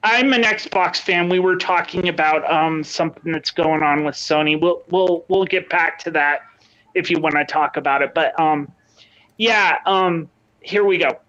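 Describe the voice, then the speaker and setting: Bright, hesitant podcast co-host on a dull mic. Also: mid-range voice, normal speed. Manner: hesitant, many ums and restarts. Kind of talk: casual chat. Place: home, with children in another room. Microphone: own home mic. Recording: dull lo-fi sound.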